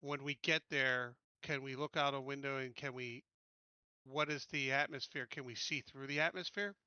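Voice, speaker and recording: A man talking casually as a player in a tabletop game, asking questions in a careful, deliberate way. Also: mid-range voice, steady tone, pushed and bright, clear, resonant tone, no room sound, muffled recording.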